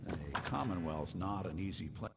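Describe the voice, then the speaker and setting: A man's voice: lively intonation, moderate pace. A man voicing an easygoing, world-weary robot detective in a video game, laconic and wry. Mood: wry, casual. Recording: reverberant, noisy game capture with rumble.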